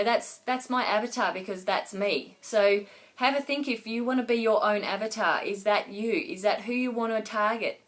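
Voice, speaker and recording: Woman, business coach on a live stream, chatty and rambling, slightly hoarse from a cough. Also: medium pitch, fairly bright tone. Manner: rambling, many fillers and restarts. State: losing her voice with a cough. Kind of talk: casual Facebook Live talk to viewers.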